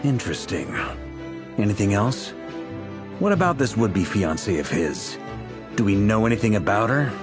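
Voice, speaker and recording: A man playing a sly, composed commander in a video game, wry and measured as he directs his team. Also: lowish voice, sing-song, music in the background. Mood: musing.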